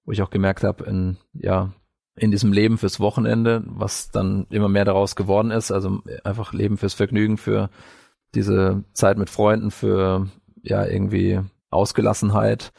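A man from northern Bavaria telling his life story as an interview guest, halting as he searches for words. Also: on the low side, level tone, gravelly, a little mellow, no echo, clean studio sound. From northern Bavaria, Franconia, Germany. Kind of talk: personal life story in conversation.

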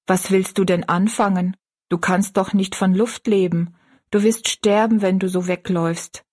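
Lady doing a plain-spoken servant girl in an audiobook, worried loyal friend, bit husky. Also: medium pitch, steady tone, a little slow, a little mellow. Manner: worried, practical.

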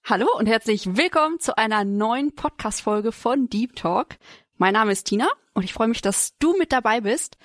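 Higher-voiced woman hosting, dry studio sound. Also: animated, normal speed, dry recording, faint music behind. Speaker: podcast host. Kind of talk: casual interview chat.

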